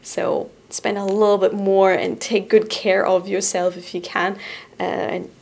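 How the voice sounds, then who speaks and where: A young woman hosting a casual product review to camera in a small studio, bubbly and giggly, sometimes easing into a softer, relaxed tone. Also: medium pitch, unhurried, creaky, slight background noise. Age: young adult. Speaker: host presenting shop items. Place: small indoor studio room. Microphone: boom-arm studio mic in front.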